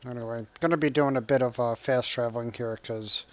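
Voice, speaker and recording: Male streamer, relaxed running chat with viewers over gameplay, mid pitch. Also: brisk. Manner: chatty, reacts to chat and game, laughs often. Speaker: game streamer.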